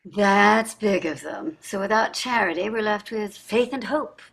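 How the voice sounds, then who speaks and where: An actress in a staged play reading, playing a wealthy older wife who is defensive, wry and restless. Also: female voice, mid-range voice, unhurried, gravelly, a bit bright. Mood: defensive, wistful. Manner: emphatic, prickly, wry.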